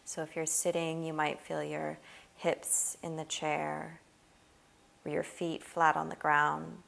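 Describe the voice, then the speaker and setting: Low, creaky-voiced woman guiding meditation. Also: completely flat delivery. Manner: gentle, unhurried instruction with long pauses. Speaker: meditation guide. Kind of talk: guided body scan meditation.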